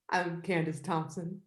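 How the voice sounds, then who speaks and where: A woman's voice: lowish voice, roomy sound. A woman hosting a podcast over a video call, blunt and laughing often with a lot of swearing. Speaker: podcast host.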